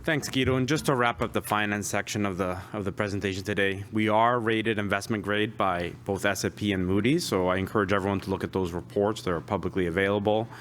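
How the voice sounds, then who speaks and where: A man from Peru with Spanish as his first language sums up the finances for investors with confidence. His voice is on the low side. Faint room ambience sits underneath. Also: steady tone, quick pace, hardly any pauses, fairly bright tone, slight background noise. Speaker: finance executive presenting. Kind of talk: investor presentation.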